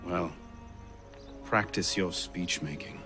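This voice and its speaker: An actor playing a cool assassin in a video game, speaking tersely with dry, teasing wit. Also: male voice, sits low, slow, music in the background. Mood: dry, wry. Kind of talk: cinematic video game acting.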